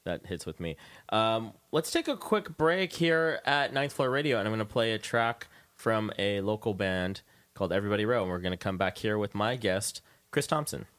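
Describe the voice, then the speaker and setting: A man's voice: lowish voice, lively intonation, normal speed, a bit bright. A man hosting a radio show, interviewing a guest about music in an informal, conversational way.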